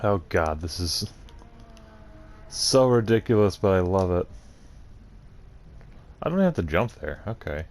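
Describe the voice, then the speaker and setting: A man giving casual commentary while he plays a video game. His pitch is animated and varied. He mutters short reactions as a host talking along with his play. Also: measured pace, pauses often.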